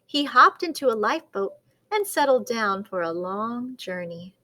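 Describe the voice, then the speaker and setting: High-voiced, animated woman reading a children's story. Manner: dramatic, deliberate storytelling. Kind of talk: expressive children's picture-book read-aloud.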